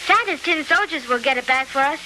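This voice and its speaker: A woman's voice: high voice, animated, a little fast, pushed and bright, poor audio. An actress playing an earnest, polite little girl in an old children's radio serial, sweet and mannerly. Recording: hissy vintage radio recording.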